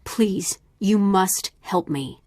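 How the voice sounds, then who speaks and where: An actress playing a proud, determined mother in a video game, earnest and intense with a husky voice. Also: mid-range voice, very slow, darkish tone, no echo, studio quality. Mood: urgent, scornful. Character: proud, determined mother with scorn for her husband.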